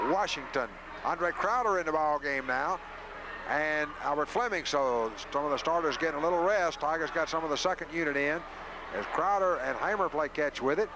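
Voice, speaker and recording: High-pitched sportscaster over gym noise. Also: male voice. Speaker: radio play-by-play announcer. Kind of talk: live basketball play-by-play commentary. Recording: noisy 1980s local radio broadcast.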